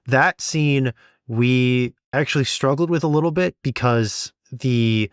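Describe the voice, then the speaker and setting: A man's voice: medium pitch, slow, smooth, no echo. Man, mid-range voice, casual podcast talk, methodical explainer, very dry recording. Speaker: audio drama sound designer, podcast co-host.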